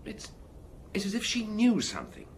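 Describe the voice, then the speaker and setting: An actor playing a keen junior police detective in an audio drama, questioning and deferential. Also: male voice, high voice, huge pitch swings, moderate pace, slight background noise, heavily acted. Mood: curious.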